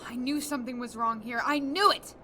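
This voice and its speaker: An actress playing a blunt, hardened war-veteran space captain in a science-fiction audio drama. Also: female voice, fairly high pitch, fairly even intonation, brisk, bright, forceful tone, a little hiss or hum. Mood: uneasy, insistent. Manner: blunt, hard-edged.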